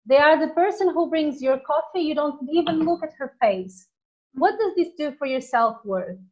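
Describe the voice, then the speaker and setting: A woman's voice: high-pitched, animated, normal speed, muffled recording. A woman with a Portuguese accent hosting a casual conversation, speaking earnestly and now and then searching for words. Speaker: host.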